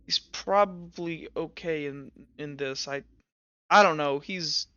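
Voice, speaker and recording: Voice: mid-range voice, fairly bright tone, dull audio, a little hiss or hum, pitched below the performer's own voice. A player voicing a hesitant, loyal teenage street orphan who stammers and halts in a tabletop game. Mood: defensive, halting. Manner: stammering, halting.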